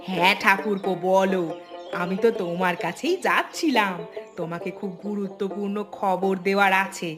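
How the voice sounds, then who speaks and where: A woman's voice: mid-range voice, steady tone, normal speed, close to an everyday voice. A woman voicing a scheming, vengeful village gossip in a cartoon, with an insistent, dramatic manner and music behind. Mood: gloating, conspiratorial. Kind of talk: Bengali cartoon voice acting.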